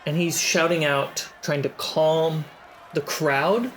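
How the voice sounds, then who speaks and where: Slow, laughing game master. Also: medium pitch, fairly bright tone, slight background noise. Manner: slow, laughs often between lines. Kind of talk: narrating a tabletop game.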